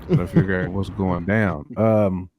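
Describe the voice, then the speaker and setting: A man's voice: low-pitched, fairly even intonation, normal speed, lo-fi sound, a loud crowd. A man on a podcast panel giving casual opinions in slangy, rambling speech, repeating himself for emphasis.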